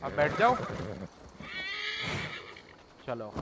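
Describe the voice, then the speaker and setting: Very fast-talking male gamer. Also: on the high side, big pitch swings. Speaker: gameplay streamer. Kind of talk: casual game commentary. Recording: clear game noise behind the voice.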